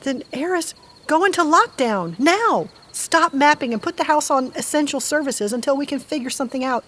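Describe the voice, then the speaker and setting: An actress playing a fiery, protective aunt in an audio drama, speaking bluntly and with emotion. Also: female voice, high-pitched, lively intonation, moderate pace, fairly bright tone. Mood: urgent, pleading. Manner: blunt, urgent questioning.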